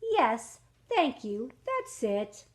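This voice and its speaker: A woman's voice: on the high side, very animated, very slow, heavily acted. A woman voicing an eager, scatterbrained male cricket in a rhyming storybook, exclaiming politely and getting flustered. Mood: polite pleading.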